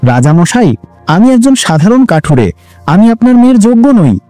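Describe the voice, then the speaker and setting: A man's voice: sits high, very animated, normal speed, dark tone, no room sound, soft background music, pitched above the performer's own voice. A man voicing a poor, kind young woodcutter who speaks modestly and earnestly in a storybook tale.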